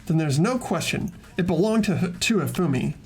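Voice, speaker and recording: A performer in a fan-voiced game playthrough, playing an earnest teenage student detective who lays out evidence deliberately. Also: mid-range voice, animated, darkish tone, lightly acted. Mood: earnest, insistent. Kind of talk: scripted game dialogue read aloud by fans. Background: background music.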